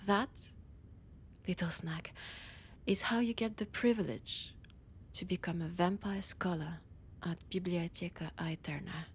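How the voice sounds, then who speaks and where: A woman with a French accent playing an ancient, proud, teasing vampire scholar in an audio roleplay, deliberate and often dropping into breathy whispers. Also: lowish voice, slight background noise, natural voice. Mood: proud, condescending. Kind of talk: scripted roleplay audio drama monologue.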